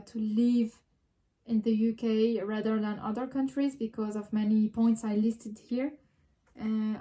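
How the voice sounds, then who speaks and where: French-accented woman with a mellow voice. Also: on the high side. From France. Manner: frequent restarts and hesitations, reflective. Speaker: vlogger. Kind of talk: casual personal vlog.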